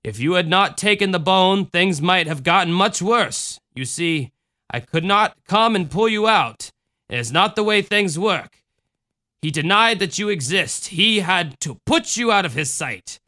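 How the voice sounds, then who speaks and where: A tender, wise mother figure speaks slowly and calmly, every word deliberate, as a man reading a story puts her on. Her voice rides a little above his own and stays bright and clear. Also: sits high, pitched above the performer's own voice, full character voice. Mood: comforting. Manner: slow, calm, deliberate speech. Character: gentle, solemn motherly woman, tender and wise.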